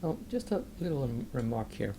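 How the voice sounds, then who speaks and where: A man's voice: medium pitch, sing-song, fairly quick, creaky, dark tone, slight background noise. A man giving casual, hesitant, dryly musing commentary as he plays through a video game. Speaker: video game let's player. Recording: commentary over audible game sound. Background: game audio.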